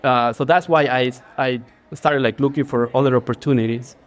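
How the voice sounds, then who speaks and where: A man's voice: mid-range voice, fairly even intonation, normal speed. A man in his forties from Ecuador with an Ecuadorian Spanish accent, speaking as a guest in a casual stage interview, earnest, with many fillers and restarts. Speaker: guest, creative director. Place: bar, live audience.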